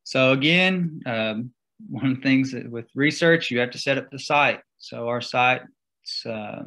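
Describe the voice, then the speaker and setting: Slow, gravelly man giving a seminar talk. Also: mid-range voice, sharp tone. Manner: halting, many fillers. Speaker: guest speaker. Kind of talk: slide-show seminar talk.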